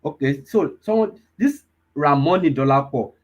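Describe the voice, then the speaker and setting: A man's voice: on the high side, lively intonation, moderate pace, dull audio. A man, teaching a live online data class, repeating himself and often checking whether listeners follow. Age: 20s. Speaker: data analysis instructor.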